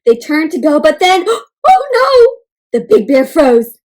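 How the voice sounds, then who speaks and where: A woman doing an interactive storybook read-aloud for children, animated, asking the listeners questions and adding playful sound effects and exclamations. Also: sits high, slow.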